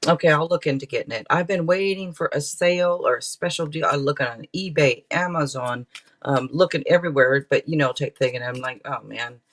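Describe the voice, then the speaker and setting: A man's voice: fairly high pitch, fairly quick, a bit bright. A man hosting a casual live tarot reading, chatting to viewers in a rambling, playful way with frequent asides. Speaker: tarot reader and livestream host.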